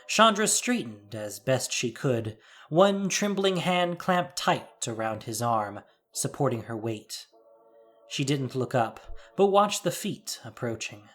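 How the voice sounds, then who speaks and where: Audio fiction narrator: mid-pitched, animated intonation, expressive scripted read over a light music bed. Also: slow.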